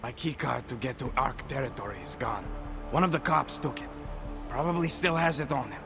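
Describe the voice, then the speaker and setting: An actor playing a frightened, weary informant in a video game, gravelly and halting, pleading and bitter as he fears for his family. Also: medium pitch, lightly acted. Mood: resigned, guarded. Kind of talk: dramatic video game acting. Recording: game dialogue under loud music. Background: game music.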